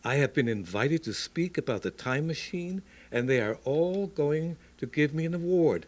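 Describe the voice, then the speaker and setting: A man's voice: a little high, lively intonation, normal speed, a little mellow. A man playing an earnest, devoted scientist and caring son in an old-time radio drama. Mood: pleased. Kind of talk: Golden Age radio drama acting.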